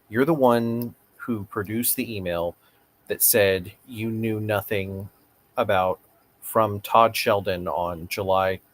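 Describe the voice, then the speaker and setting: A man's voice: lowish voice, a bit bright. A man playing a persistent, formal lawyer questioning an evasive witness in a read-aloud deposition. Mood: procedural.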